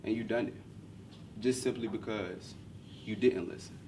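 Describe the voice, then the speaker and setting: A man's voice: on the low side, steady tone, normal speed, noisy background. A man leading a workshop discussion, instructing the group and posing rhetorical questions.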